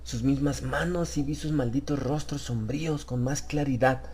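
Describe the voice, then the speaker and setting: A man voicing a shaken, bewildered traveller in a horror audiobook, stammering and trembling through halting questions. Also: mid-range voice, fairly even intonation, a little mellow, breathy edge, voice pushed up, close to an everyday voice. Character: shaken, bewildered English traveller, a merchant in terror.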